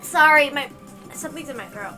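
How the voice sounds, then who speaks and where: A woman reading a visual novel aloud, with expressive narration and casual asides. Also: high voice, brisk, faint music behind.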